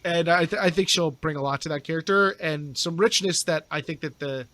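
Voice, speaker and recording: A man's voice: a little high, brisk, pushed and bright, a little hiss or hum. A man talking casually as a guest on a podcast. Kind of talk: casual chat.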